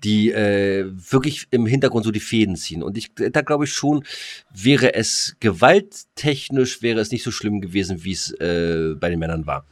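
A man's voice: fairly low pitch, no echo, studio quality. A German man co-hosting a podcast in casual discussion, often stammering and repeating words as he makes his point. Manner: halting, stammers and repeats words while arguing. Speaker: podcast co-host.

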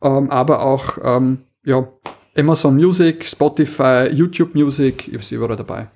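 A man's voice: medium pitch, normal speed, darkish tone. A man co-hosting a podcast in a casual, conversational style. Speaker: podcast co-host. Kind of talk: casual chat.

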